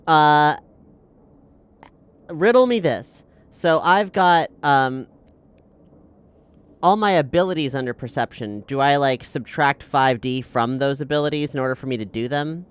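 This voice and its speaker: A man player, halting and thinking aloud. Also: a little high, animated, fairly bright tone, clear, resonant tone. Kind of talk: casual tabletop play.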